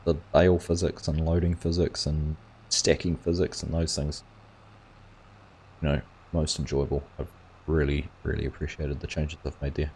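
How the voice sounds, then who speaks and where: A man's voice: rumbling low, little pitch movement, normal speed, darkish tone, a little hiss or hum. A man hosting a gaming livestream, chatting casually and reading viewers' comments aloud. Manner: rambling, reads chat aloud and answers viewers.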